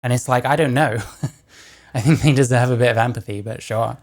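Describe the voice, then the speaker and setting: A woman hosting a reaction video, chatting casually between clips and often trailing off into quiet asides. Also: a bass voice, brisk. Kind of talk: casual commentary over clips.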